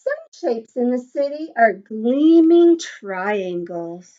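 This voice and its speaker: A woman's voice: a little high, big pitch swings, slow, warm tone. A woman teacher reading a picture book aloud to young children, encouraging them with questions and sing-song asides, on a slightly dull recording.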